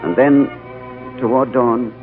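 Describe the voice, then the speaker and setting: An actor playing a weary, haunted adventurer in a 1930s radio drama, narrating earnestly. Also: male voice, mid-range voice, takes it slowly, close to an everyday voice. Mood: brooding. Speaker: leading man and narrator. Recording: tinny old radio broadcast with music.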